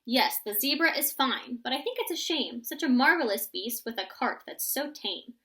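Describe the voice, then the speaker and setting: A woman reads as an imaginative young boy from a rhyming storybook. The voice is bright and fairly high, excitable, thinking aloud as each tall tale grows bigger. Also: normal speed, slight background noise. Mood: musing, excited.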